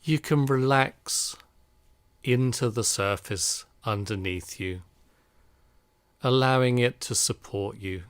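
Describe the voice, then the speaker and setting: A man guiding a relaxation meditation in a calm, soothing, unhurried manner. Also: mid-range voice, no room sound. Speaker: meditation guide.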